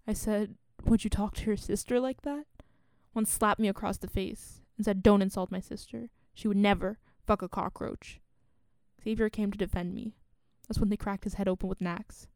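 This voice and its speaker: A woman acting in a radio play as a guarded genocide survivor and refugee, speaking in a measured, grave and earnest way. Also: medium pitch, darkish tone, clean tone, dry recording, natural voice.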